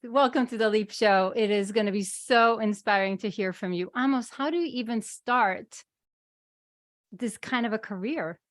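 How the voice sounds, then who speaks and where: Effusive woman host, somewhat high voice, dry close-mic recording. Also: normal speed, no echo. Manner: enthusiastic, effusive. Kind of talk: podcast interview.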